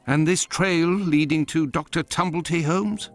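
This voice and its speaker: An actor playing an earnest, troubled gentleman, a detective's loyal companion, in a video game, speaking formally. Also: on the high side, steady tone, a little slow, soft background music. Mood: troubled, questioning. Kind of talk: scripted video game acting.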